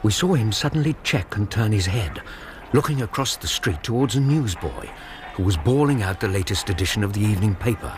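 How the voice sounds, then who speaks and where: English gentleman narrator, low voice, lively, measured, radio drama, noise behind him. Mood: descriptive storytelling. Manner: measured, courteous, matter-of-fact.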